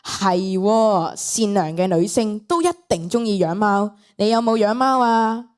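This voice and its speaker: A woman's voice: mid-range voice, a little slow, darkish tone, smooth, lightly acted. A teenage girl with a Hong Kong Cantonese accent playing a florid, over-polite online boyfriend in a student radio drama. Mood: gushing. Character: smooth-talking, florid, sugary online boyfriend.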